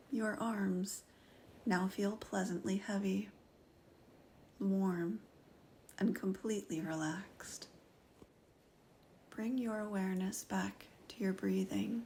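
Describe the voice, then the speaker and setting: Slow whispery meditation guide woman. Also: medium pitch, creaky, a little hiss or hum. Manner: soft, slow, often whispered.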